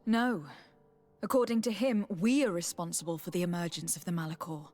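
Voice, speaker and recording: An actress playing a composed, dutiful young noblewoman diplomat in a video game, speaking in a measured, earnest, formal manner. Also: lowish voice, fairly even intonation, a little mellow, lightly acted.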